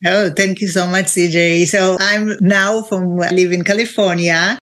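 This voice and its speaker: A woman's voice: fairly low pitch, fairly even intonation, measured pace, a bit bright. A woman from Israel with an Israeli accent, a Hebrew speaker talking casually as an interview guest over a video call, chatty, laughing often and using many fillers. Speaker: guest, NLP trainer.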